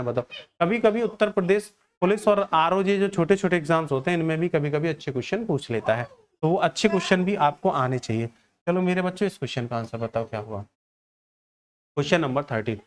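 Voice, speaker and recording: A man teaches an exam-coaching maths class in a fairly high, clear voice. He works through each step aloud, repeats key phrases and puts questions to his students, addressing them affectionately. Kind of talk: exam-coaching lesson, solving problems aloud.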